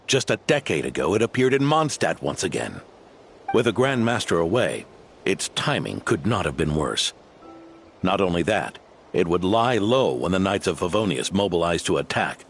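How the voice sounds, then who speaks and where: An actor playing an adult man gravely recounting old history in game dialogue. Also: low voice, big pitch swings, moderate pace, a little hiss or hum. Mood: solemn.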